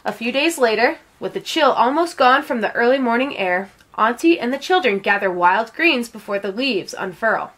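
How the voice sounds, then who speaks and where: A woman with a fairly high voice reads a picture book aloud. Her delivery is measured and expressive, shaped as storytelling for children. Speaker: read-aloud reader.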